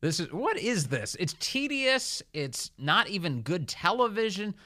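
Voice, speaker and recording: A man's voice: sits high, sing-song, a little slow, sharp tone. A man hosting political talk radio, commenting conversationally with an incredulous, mocking edge.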